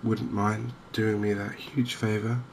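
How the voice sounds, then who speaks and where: A man speaks softly in an ASMR gaming style, gentle and unhurried. His voice is on the low side and very even, with little rise or fall. Clear ambient noise runs behind him as he pauses and chats to the viewer. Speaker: gaming video host. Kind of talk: softly spoken ASMR game commentary.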